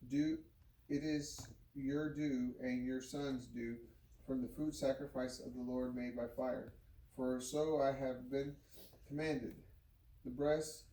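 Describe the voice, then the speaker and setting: A man reads scripture aloud in a halting way, stumbling over words and starting them again. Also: mid-range voice, warm tone. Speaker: Bible reader. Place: home living room. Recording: roomy, distant mic, faint noise.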